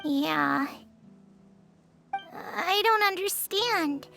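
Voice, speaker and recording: Tiny, very high-pitched earnest creature girl. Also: extremely slow, creaky, bright, forceful tone, faint music behind, full character voice. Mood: dejected but determined. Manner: polite, earnest, a little hesitant. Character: small, earnest, childlike female Melusine creature, eager and hopeful. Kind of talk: video game voice acting.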